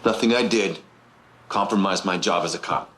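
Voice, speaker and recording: An actor playing a cocky, corrupt cop with a menacing streak, taunting and swaggering in a screen drama. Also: male voice, low-pitched, moderate pace, a bit bright. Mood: defiant. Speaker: actor in a TV supernatural police drama. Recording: music under the dialogue.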